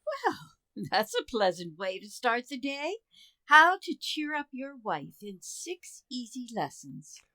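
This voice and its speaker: A woman plays a wry housewife and mother in old-time radio comedy. Her voice is fairly high and expressive, taken at a leisurely pace. She is patient, with a dry sarcastic edge. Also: slow, no room sound, exaggerated voice.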